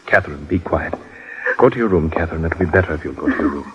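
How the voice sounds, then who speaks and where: An actor playing a suave, evasive planter husband, polite, formal and coolly controlled, in a 1950s radio drama. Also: male voice, a bass voice, animated, fast, noisy background. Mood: tense, commanding. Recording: dull lo-fi old radio broadcast.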